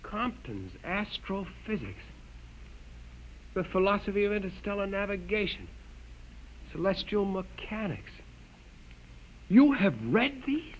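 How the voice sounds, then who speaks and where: Haughty, excitable young scientist. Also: male voice, sits high, takes it slowly, pauses often, a little hiss or hum, full character voice. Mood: wary, astonished. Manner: dramatic, clipped, incredulous. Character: haughty young male scientist, indignant and rigidly orthodox. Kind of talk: 1950s radio drama acting. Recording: dull, muffled 1950s radio recording.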